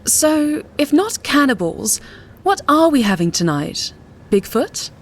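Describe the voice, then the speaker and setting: A woman's voice: high voice, sing-song, slow pace, a little hiss or hum, voice pushed up, heavily acted. A woman voicing a jaded, elegant, wealthy society wife in an audiobook, arch, haughty and world-weary. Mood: wry, curious. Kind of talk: audiobook character voice over music.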